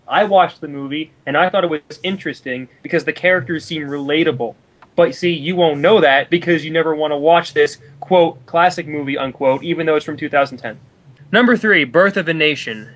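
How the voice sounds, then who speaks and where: A man's voice: on the high side, poor audio. A man co-hosting a review, giving sarcastic, argumentative commentary on pieces he reads out. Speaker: reviewer, co-host. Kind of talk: dramatic reading with mocking commentary.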